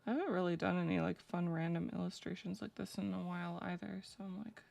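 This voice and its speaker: A young woman with a low voice chatting casually and rambling with lots of fillers while she draws on a live stream. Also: steady tone, fast talker, gravelly, darkish tone. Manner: rambling, filler-heavy, laughs easily. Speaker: streamer drawing fanart.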